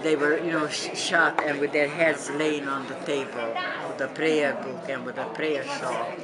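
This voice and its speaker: A woman's voice: low voice, steady tone, a little slow. Elderly Hungarian-accented woman, busy crowd behind. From Transylvania, Romania. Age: older, 70s or 80s. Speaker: Holocaust survivor giving testimony. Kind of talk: spoken personal recollection to an audience. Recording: large room with audience murmur.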